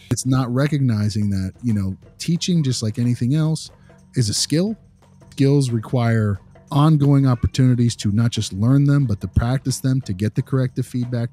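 A man's voice: mid-range voice, warm tone, slightly rough. A man talking through his opinions in a casual podcast discussion, repeating phrases for emphasis. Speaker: podcast co-host, behavior analyst.

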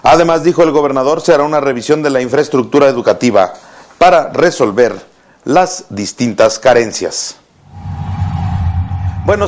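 A middle-aged man with a Mexican accent presenting scripted news as a studio anchor. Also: a little high.

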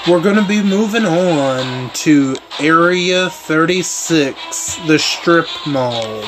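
A man hosting a gaming channel from the eastern United States, chatting casually and rambling as he plays, a little hoarse and clearing his throat. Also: on the high side, animated, slow pace, poor audio. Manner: rambling, laid-back storytelling. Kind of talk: casual chat over gameplay. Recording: game music loud behind voice.

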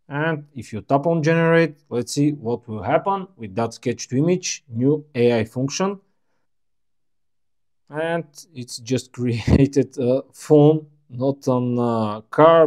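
Bulgarian-speaking man with a mellow voice. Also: medium pitch. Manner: unhurried, plainspoken, slightly halting non-native phrasing. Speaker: tech reviewer. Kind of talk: casual screen walkthrough.

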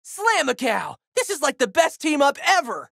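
Exuberant high-pitched teen boy hero. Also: huge pitch swings, moderate pace, vocal fry, a bit bright, no room sound, studio quality, heavily acted. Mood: pumped up. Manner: exuberant, slangy, emphatic delivery. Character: spirited, goofy teenage boy hero, brash and big-hearted. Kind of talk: video game character battle lines.

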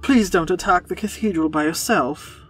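A performer playing a worried, gentle young man in an audio drama, speaking in dialogue. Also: mid-range voice, normal speed, faint music behind, natural voice. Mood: anxious.

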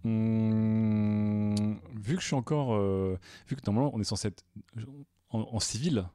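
A man playing a tabletop game, speaking in a measured, mock-tactical way into a headset mic. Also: lowish voice, steady tone, dark tone, smooth, no echo. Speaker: player.

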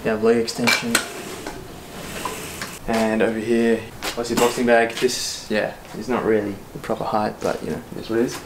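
A young man vlogging casually in a relaxed, chatty, self-mocking way, filmed on a camera mic under a house deck and indoors. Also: fairly low pitch, moderate pace, noisy background. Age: young adult. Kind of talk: casual vlog chat. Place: outdoor area under a house deck, then indoor room.

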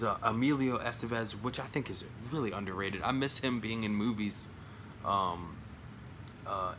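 A man in his thirties chatting casually at home about his film collection, rambling with lots of fillers and jokes, his voice going hoarse. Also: on the low side, noisy background. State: losing his voice. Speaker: collection vlogger. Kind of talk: casual home video chat. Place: small room at home, indoors. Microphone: phone or webcam at arm's length.

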